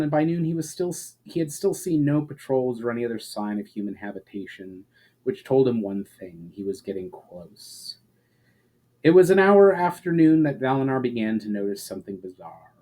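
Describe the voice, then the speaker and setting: A man reading fantasy fiction aloud as a dramatic narrator, deliberate, with emphatic phrase openings that fall away at the ends. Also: medium pitch, expressive, dark tone, rough recording. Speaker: author reading own story aloud.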